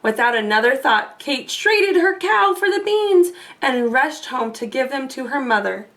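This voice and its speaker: A woman reading a storybook aloud expressively for children, pausing to put questions to listeners. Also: high voice, lively intonation, a bit bright, recorded from a distance. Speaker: storybook reader.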